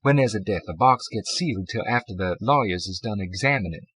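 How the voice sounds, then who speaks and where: A man's voice: on the low side, animated, brisk, a bit bright, husky, no room sound, low-quality recording. A man voicing a pleasant, folksy middle-aged woman who works as a bank clerk, chatty and colloquial, in an audiobook. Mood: sympathetic, apologetic. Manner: folksy, colloquial, ungrammatical talk.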